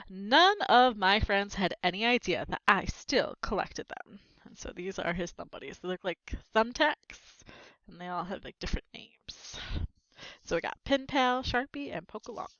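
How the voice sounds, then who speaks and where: A woman's voice: mid-range voice, bright, forceful tone, dry recording, highs cut off. A woman reading a children's book aloud expressively, breaking in with casual, plain asides to explain the story. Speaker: read-aloud narrator with commentary.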